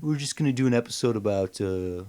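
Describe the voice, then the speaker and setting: A man co-hosting a podcast in casual, comedic chat, joking and mildly profane in an animated way. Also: mid-range voice, quick pace. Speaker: podcast co-host.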